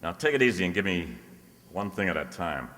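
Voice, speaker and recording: Man playing a deep-voiced wisecracking private eye. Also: animated, a little fast, a bit bright. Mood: brisk, wry. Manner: sardonic, wisecracking. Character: wisecracking male private detective, flirtatious, cocky, breezy. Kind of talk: old-time radio detective drama acting. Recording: reverberant hall, stage-like echo.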